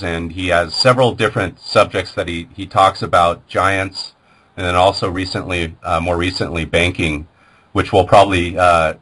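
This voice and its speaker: A man's voice: low-pitched, a bit bright. A man hosting an internet radio show, introducing an interview in an informal, unscripted way.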